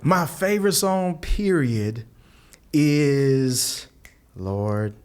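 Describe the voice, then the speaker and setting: A man's voice: very slow, smooth. A middle-aged man from Louisville, a musician and guest telling stories in casual conversation. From Louisville, Kentucky. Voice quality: mellowed former high singing voice. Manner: animated storyteller, quoting others with voiced impressions.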